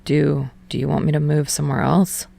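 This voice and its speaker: Audiobook role: gruff, awkward young man female reader, low pitch, curt and understated, creaky edge. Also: flat delivery, brisk, dark tone, no room sound, full character voice. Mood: hesitant. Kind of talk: fan fiction audiobook dialogue.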